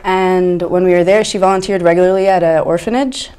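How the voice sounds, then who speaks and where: A woman's voice: fairly low pitch, fairly even intonation, a little fast, warm tone, clear, resonant tone. A woman in her twenties from Egypt, talking earnestly and enthusiastically as a guest in a casual talk show conversation. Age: 20s.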